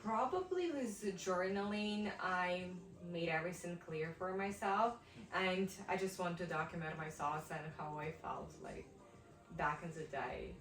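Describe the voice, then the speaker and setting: A young woman with a Ukrainian accent, chatting casually and thoughtfully, pausing with many fillers. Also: fairly low pitch, a bit bright, roomy sound, recorded from a distance, low-quality recording. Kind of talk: casual chat.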